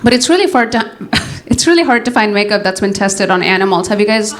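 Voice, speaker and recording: A young adult woman from Nepal doing a stand-up comedy set, with a deadpan delivery that keeps tacking on "you know?" and "all right?". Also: mid-range voice, a little fast, noisy background. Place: comedy club stage with laughing audience.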